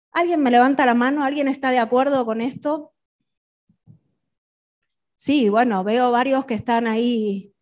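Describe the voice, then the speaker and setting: A middle-aged woman speaks in a fairly high voice. She is presenting live to a business audience as a conference speaker. Her delivery is didactic, checking in with listeners through rhetorical questions. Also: a little mellow. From Argentina.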